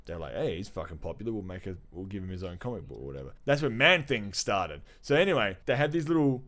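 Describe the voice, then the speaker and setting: A man's voice: lowish voice, expressive, fast, a bit bright. A man co-hosting a podcast, chatting casually and enthusiastically about pop culture with plenty of slang and swearing. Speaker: podcast co-host.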